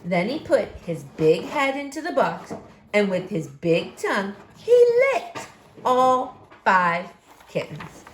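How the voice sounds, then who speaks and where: A woman reading a storybook aloud to young children in an expressive, emphatic, deliberate way. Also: medium pitch. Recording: roomy echo, faint background noise.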